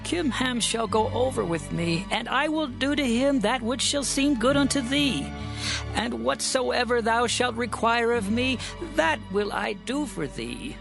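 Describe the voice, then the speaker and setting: A man's voice: extremely high pitch, animated, unhurried, gravelly, over music, full character voice. An actor playing a grave, commanding king in a dramatized scripture, with declamatory, authoritative delivery. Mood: generous, solemn.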